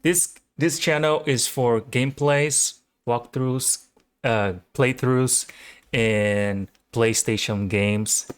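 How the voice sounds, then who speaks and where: A man's voice: mid-range voice, fairly even intonation, takes it slowly, clean tone, no room sound. A young man from Brazil with a Brazilian Portuguese accent, casually and enthusiastically hosting his own reaction video, rambling with fillers. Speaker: channel host. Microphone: home microphone.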